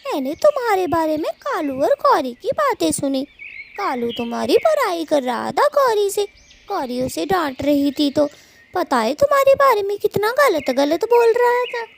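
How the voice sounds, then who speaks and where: A fiery little female cartoon bird, extremely high and creaky. She scolds and gossips in bossy, dramatic bursts with big swoops in pitch, over faint background noise. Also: very animated, normal speed, heavily acted. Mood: gossipy. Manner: scolding, chatty, dramatic colloquial delivery. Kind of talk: children's moral-story cartoon dubbing.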